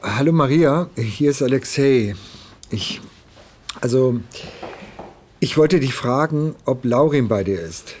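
An actor playing a worried, hesitant middle-aged father in a naturalistic radio drama, speaking in a halting, reluctant way. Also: medium pitch, expressive, a little mellow, slight background noise. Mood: awkward, worried. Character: worried, hesitant divorced father of missing teenage son.

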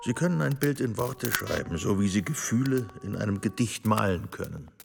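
An actor reciting surreal poetic fragments in an artful radio play, with a creaky voice. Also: male voice, lively intonation, moderate pace, noisy background. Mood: intense, poetic. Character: man reciting surreal poetic fragments about painting and desire. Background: prominent cello and double bass music.

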